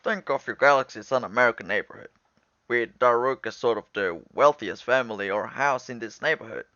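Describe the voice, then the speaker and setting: A man's voice: mid-range voice, bright, forceful tone, no room sound, natural voice. Man acting as a formal alien commander, dull dry recording. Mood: instructive. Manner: formal, explanatory, measured. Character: alien aquatic commander, patient, proud, octopus-like mentor. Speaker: commander briefing trainees. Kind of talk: animated film dialogue.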